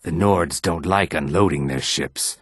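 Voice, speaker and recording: An actor playing a weary, wary lizard-man laborer and fighter in a fantasy video game, speaking in a measured, guarded and plain-spoken way with a raspy throat. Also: a bass voice, no room sound, studio quality, heavily acted. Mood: wry, stoic.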